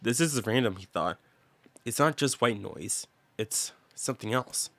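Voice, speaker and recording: A man's voice: mid-range voice, animated, a little slow, vocal fry, fairly bright tone, dry recording. A man playing an irritable, weary male traveler in a game story, speaking casually and with exasperation. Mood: puzzled.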